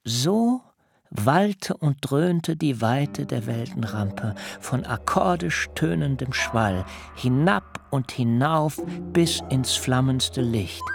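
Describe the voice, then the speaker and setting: An actor playing an awed biblical patriarch who recounts a dream vision solemnly in a literary radio drama, with measured, rapt delivery. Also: male voice, mid-range voice, creaky. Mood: rapt, rising.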